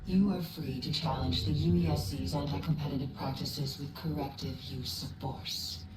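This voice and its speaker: An actor playing a cool, ruthless corporate representative in a video game briefing, formal, measured and coldly courteous. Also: medium pitch, steady tone, lightly acted. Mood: coolly polite. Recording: roomy echo, distant, very loud music. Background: very loud music.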